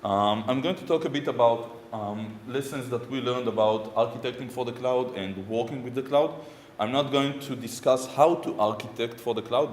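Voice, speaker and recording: A man's voice: medium pitch, slight background noise. A man in his thirties with an Israeli accent, giving an informal technical talk at a conference with many ums and uhs. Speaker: conference speaker. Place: conference room with projector screen. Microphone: far room mic. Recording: echoey hall from far off.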